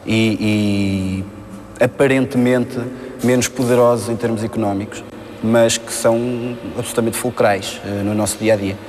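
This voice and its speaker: A man's voice: on the low side, steady tone, a little slow, a little mellow. A man in his early thirties with a European Portuguese accent, speaking off the cuff as an interviewed student. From Coimbra, Portugal. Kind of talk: spontaneous interview talk. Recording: reverberant space with clear ambient noise.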